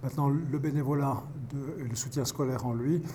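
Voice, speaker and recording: A man in his fifties, a pastor volunteering as a tutor, answering interview questions in a halting way with many restarts. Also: mid-range voice, steady tone, noisy background. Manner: halting, restarts words often.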